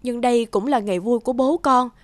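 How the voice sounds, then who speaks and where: A woman voicing a gentle, patient stepmother-to-be in a cartoon. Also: fairly high pitch, a little fast, no room sound, lightly acted. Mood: gentle, pleading. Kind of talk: cartoon voice acting.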